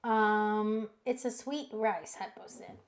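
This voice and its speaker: A woman's voice: medium pitch, steady tone, slow pace. A woman with an Indonesian accent co-hosting a cooking stream, chatting casually and reading viewer comments aloud with fillers and laughs.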